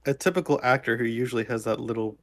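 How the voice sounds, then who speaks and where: A man talking casually as a podcast panelist in a halting, slangy way. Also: mid-range voice, fairly bright tone, no room sound. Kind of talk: casual chat.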